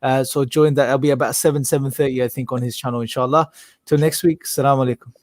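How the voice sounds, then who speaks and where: A man's voice: medium pitch, steady tone, fast, darkish tone, no echo. A man hosting a live stream, thanking his guests and announcing the next episode in a polite, friendly way. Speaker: host.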